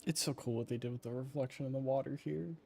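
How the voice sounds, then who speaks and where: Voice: mid-range voice, fairly even intonation, fast, vocal fry, dark tone, breathy edge. A game streamer giving casual commentary while playing.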